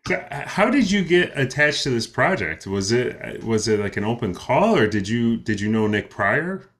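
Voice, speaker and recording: A man's voice: medium pitch, very animated, moderate pace, a bit bright. A man hosting an interview, asking questions casually with stammers and restarts. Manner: stammering, laughs easily. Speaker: interview host.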